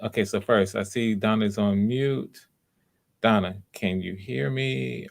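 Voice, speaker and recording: A man's voice: lowish voice, fairly even intonation, takes it slowly, gravelly. A man hosting live auditions casually, coaching in an encouraging way and often repeating his words. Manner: encouraging, hesitant repetitions. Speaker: audition host.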